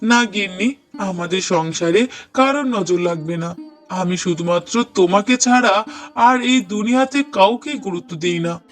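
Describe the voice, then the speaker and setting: A man's voice: high voice, measured pace, fairly bright tone. A man playing an affectionate young husband in a cartoon, speaking earnestly and tenderly with emphatic repetition. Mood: tender, reassuring. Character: affectionate young husband, delighted father-to-be, gentle and doting. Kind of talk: cartoon dialogue acting. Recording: voice over prominent music bed. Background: music.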